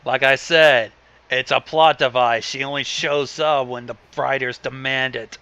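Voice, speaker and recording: A male co-host chips in with blunt, sardonic banter and some swearing. His voice is mid-pitched and sharp, with lively intonation. The microphone sound is dull and lo-fi. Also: measured pace, smooth, no room sound. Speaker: co-host commenting on the reading. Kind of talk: casual banter.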